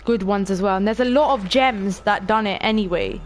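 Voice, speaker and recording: A woman in her late teens with a stuffy nose, casually narrating a game stream, chatty and excitable, swearing offhand and talking to her viewers. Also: medium pitch, a little fast. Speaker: gaming streamer. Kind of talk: casual let's-play commentary.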